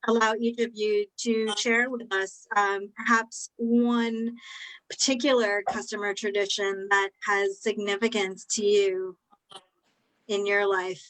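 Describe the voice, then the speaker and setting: A woman's voice: medium pitch, fairly bright tone, rough recording. A woman hosting a panel discussion in a mid-range voice. She keeps things moving, thanking each guest and inviting the next to speak in an encouraging tone. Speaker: host. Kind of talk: moderating a panel conversation.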